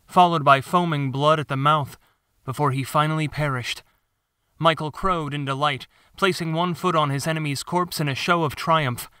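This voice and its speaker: Bright-voiced man narrating an audiobook. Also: a little high, smooth, no echo, studio quality. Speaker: author narrating own audiobook. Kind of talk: scripted dramatic storytelling narration.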